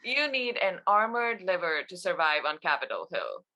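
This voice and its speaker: A woman playing a wry, unflappable congressional assistant with a dry, quick-witted manner. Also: fairly low pitch, very animated, pushed and bright, poor audio. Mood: wry, confident.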